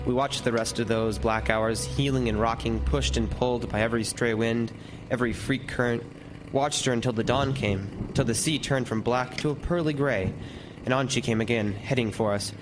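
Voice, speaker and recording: A man's voice: medium pitch, steady tone, normal speed, close to an everyday voice, rough recording. An actor playing a haunted lighthouse keeper, telling his horror tale with suspenseful, emphatic radio-drama delivery. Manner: breathless, suspenseful, emphatic repetition. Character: haunted male lighthouse keeper recounting his terrifying ordeal. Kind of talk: dramatic first-person narration, old-time radio horror. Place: college stage before a live audience. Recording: live stage radio play, loud music.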